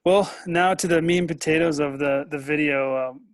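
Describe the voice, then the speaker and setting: A man's voice: on the high side, fairly even intonation, moderate pace, dry recording. An American man hosting a casual interview. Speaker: interview host.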